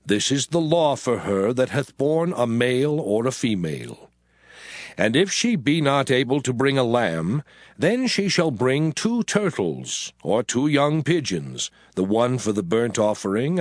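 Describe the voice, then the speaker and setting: A man's voice: mid-range voice, sing-song, a little slow, no room sound, clean studio sound. A man playing God as a stern, solemn lawgiver, formal and declamatory in a dramatized scripture reading. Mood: solemn, instructive.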